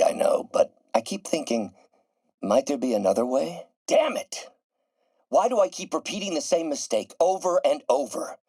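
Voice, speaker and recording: A man's voice: medium pitch, darkish tone, no room sound. A male actor playing a brilliant, proud and embittered middle-aged scientist and mentor turning villain in a video game, with articulate, theatrical delivery. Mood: pensive, then bitter, vengeful. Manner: articulate, theatrical, erudite phrasing. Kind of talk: dramatic scripted game dialogue.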